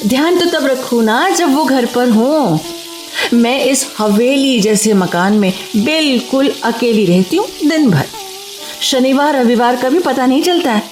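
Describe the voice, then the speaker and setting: An actress playing a talkative, friendly, lonely housewife in an expressive, coaxing manner in a Hindi radio play. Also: female voice, high-pitched, unhurried. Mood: chatty, plaintive. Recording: roomy studio radio play with music.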